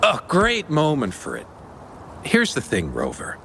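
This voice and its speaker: An actor voicing a friendly, easygoing merchant in a video game, teasing and lively. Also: male voice, fairly high pitch, unhurried, gravelly, a little hiss or hum, exaggerated voice.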